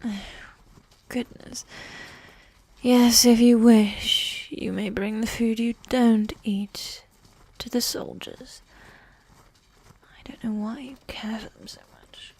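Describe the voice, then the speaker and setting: A woman playing a possessive, condescending evil warrior queen who dotes on her spouse, speaking in soft, breathy half-whispers in a medieval ASMR roleplay. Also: fairly high pitch, steady tone, no echo, heavily acted. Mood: composed, affectionate. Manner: slow, regal, formal phrasing with sighs.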